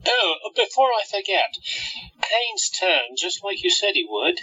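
A dull recording of a man acting an eager undercover time traveler in a radio play. The voice is very sharp and fairly high, swinging expressively at an unhurried pace. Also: very animated, husky, heavily acted. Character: eager time-traveler spy, flamboyant and unbothered. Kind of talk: amateur audio drama acting.

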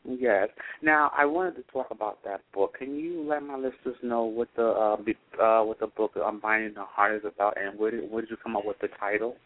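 A man's voice: fairly low pitch, fairly even intonation, a little fast, hardly any pauses. A man hosting a radio interview, chatty and stumbling over his words. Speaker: radio host. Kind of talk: casual interview. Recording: tinny phone line.

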